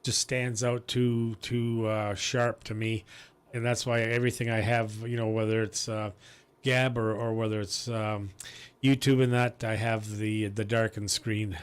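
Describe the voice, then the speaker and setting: A man hosting an amateur radio net from his home radio room, talking casually and haltingly into a boom microphone with many fillers. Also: level tone, clean tone. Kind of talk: casual on-air radio chat.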